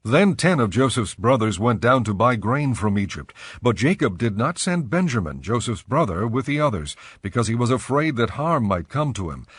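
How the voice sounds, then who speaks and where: A man narrating scripture from a script in a measured, formal way. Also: mid-range voice, big pitch swings, husky, dry recording.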